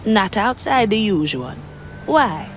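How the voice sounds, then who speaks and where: A woman's voice: medium pitch, sing-song, slow. An actress playing a wry, daring woman pirate navigator with a cool, confident manner.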